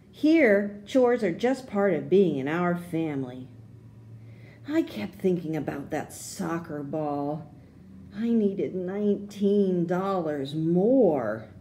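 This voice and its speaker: Woman reading a storybook, very lively pitch. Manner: expressive, deliberate phrasing for young listeners. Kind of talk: children's storybook read-aloud.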